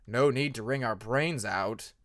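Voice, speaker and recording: A man's voice: medium pitch, a little slow, fairly bright tone, no room sound, clean studio sound, voice pushed down. A man voicing a sleepy, complaining young male dragon student in an audiobook story. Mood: irritated. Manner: groggy, complaining.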